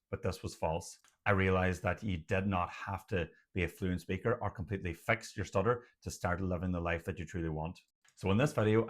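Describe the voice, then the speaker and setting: Brief: young adult Irish man, deep low voice, speaks with an audible stutter, direct to camera. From Ireland. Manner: stutters, with blocks and repeated sounds. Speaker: doctor and channel host. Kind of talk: personal talk to camera.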